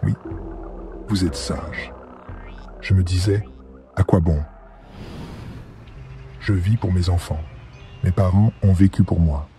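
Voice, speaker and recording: A man's voice: deep voice, fairly even intonation, slow pace, pauses often, dark tone, soft background music. A man voicing an earnest, reflective father in a cartoon dialogue. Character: agreeing, questioning male friend, a weary father. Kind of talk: animated film dialogue.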